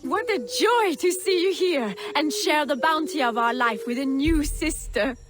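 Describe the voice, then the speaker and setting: A woman's voice: high-pitched, lively intonation, measured pace. An actress playing a brainwashed woman laborer in a video game, insistently content yet guarded and fearful underneath. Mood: serenely joyful. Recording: music under the voice.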